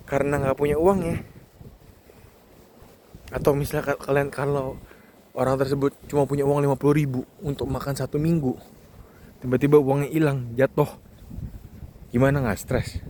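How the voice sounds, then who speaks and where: A man gives casual, emphatic advice as a vlog host, repeating key words for stress. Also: mid-range voice. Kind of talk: casual monologue giving advice to viewers. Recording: clearly noisy recording with ambient background.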